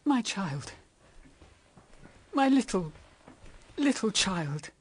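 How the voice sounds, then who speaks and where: Slow, husky, solemn mother. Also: mid-range voice, big pitch swings, full character voice. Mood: solemn, sorrowful. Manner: solemn, deliberate. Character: grave, sorrowful mother of two grown daughters, measured. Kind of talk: radio drama acting.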